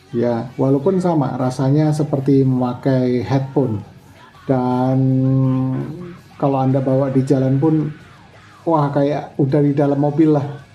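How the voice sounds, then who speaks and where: An older man giving a relaxed, chatty product review, full of fillers and restarts. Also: mid-range voice, dull audio. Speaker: motorcycle gear reviewer.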